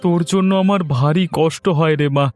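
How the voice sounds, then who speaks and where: A man's voice: on the high side, fairly even intonation, a little fast, darkish tone, soft background music, lightly acted. A man voicing a poor, tender, sorrowful widowed village father in a cartoon story. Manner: tender, lamenting. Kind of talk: Bengali cartoon story dialogue.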